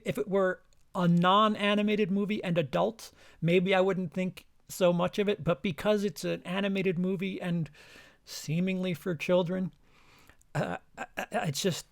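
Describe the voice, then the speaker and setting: A man with a somewhat high, gravelly voice talks unscripted through his film rankings. He rambles, restarts his sentences often and swears casually. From lived in Denmark. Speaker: channel host ranking films.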